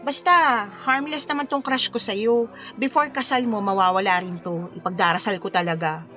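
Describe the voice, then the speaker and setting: A woman's voice: medium pitch, steady tone, moderate pace, pushed and bright, close to an everyday voice. An actress playing a bashful, smitten forty-year-old woman, coy and playfully flustered, in a Filipino radio romance drama. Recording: music bed under the dialogue.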